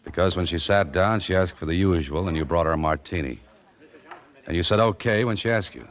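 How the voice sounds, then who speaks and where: Man acting a deep-voiced, wry hard-boiled hero. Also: brisk, lightly acted. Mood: dry, probing. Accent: American. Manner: dry, wisecracking, clipped tough-guy delivery. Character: wry, hard-boiled adventurer hero narrating his own mystery. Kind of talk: 1940s radio mystery acting and first-person narration. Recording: muffled old radio recording with music.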